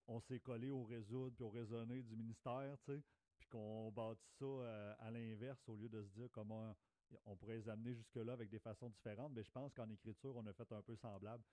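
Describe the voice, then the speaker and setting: Mellow Quebec man, casual podcast co-host. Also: fairly low pitch, steady tone, brisk, dry recording. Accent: Quebec French. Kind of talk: casual conversational podcast talk.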